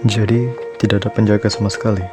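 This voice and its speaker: A man's voice: fairly low pitch, little pitch movement, fast, music in the background, lightly acted. A man playing a skeptical, reluctant teenage schoolboy in a visual novel, with a hesitant, questioning delivery. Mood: skeptical, dismissive.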